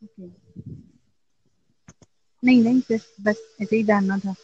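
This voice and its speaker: A woman's voice: mid-range voice, fairly even intonation, rapid-fire, mellow, slightly rough. A woman from Nepal, a guest in a casual online voice call chat, who often asks the other speaker to repeat himself. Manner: keeps asking to be repeated. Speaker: podcast guest. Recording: dull, dry online call audio.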